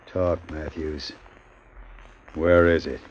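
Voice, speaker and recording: An actor playing a cruel, threatening gangster in a 1940s radio drama, with a clipped manner, on a muffled old broadcast recording. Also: male voice, very deep voice, flat delivery, takes it slowly, full character voice. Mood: menacing. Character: menacing male gangster, cruel, armed husband.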